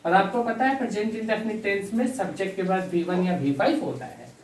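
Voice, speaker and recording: A male English grammar teacher talking slowly and deliberately, dictating example sentences as he writes, repeating key phrases, and addressing the class as dear students. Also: high voice, animated. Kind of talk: bilingual grammar lesson. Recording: echoey room, distant mic, faint hiss.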